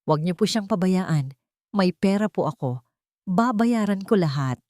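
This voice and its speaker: A woman's voice: on the low side, animated, unhurried, mellow, no echo, studio quality. A woman with a Filipino accent voicing a resolute, protective young mother in an audio storybook. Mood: desperate. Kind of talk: audio storybook character acting.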